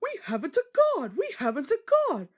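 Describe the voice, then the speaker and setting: A woman voicing a fretful, loyal old female railway coach from a children's storybook, shrill and sing-song. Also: wildly swooping pitch, moderate pace, darkish tone. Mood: distressed wailing.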